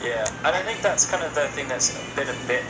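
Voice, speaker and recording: A man's voice: mid-range voice, animated, a little fast, loud music behind the voice, poor audio. A man talking casually as a podcast host in a discussion about games.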